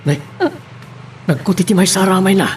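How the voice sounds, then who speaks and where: A man with a Filipino accent playing a gentle, pious, reassuring father in an audio melodrama. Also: high-pitched, steady tone, normal speed, slight background noise, lightly acted. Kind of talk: Filipino radio-style melodrama acting.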